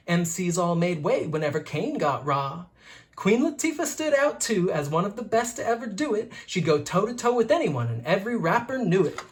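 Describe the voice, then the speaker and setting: A teacher reading a rhyming children's book aloud in a rhythmic, expressive way. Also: medium pitch.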